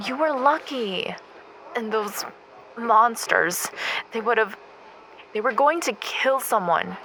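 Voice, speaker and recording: A woman playing a blunt, cheeky, loyal best friend in a naturalistic audio drama, teasing and expressive. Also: on the high side, slow pace, a little hiss or hum, exaggerated voice, rough recording. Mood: emotional, loyal.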